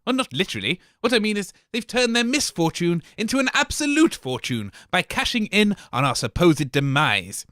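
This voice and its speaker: Pompous, theatrical comedy co-host. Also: male voice, high voice, sing-song, normal speed, hardly any pauses, sharp tone, no room sound, clean studio sound. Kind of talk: scripted comedy banter.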